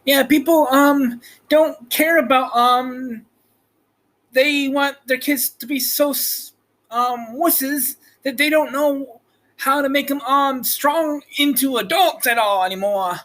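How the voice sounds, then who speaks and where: A man voicing a silly, cranky pet animal in a halting, playful chat. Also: very high voice, fairly bright tone, full character voice, rough recording. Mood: chatty, grumbling.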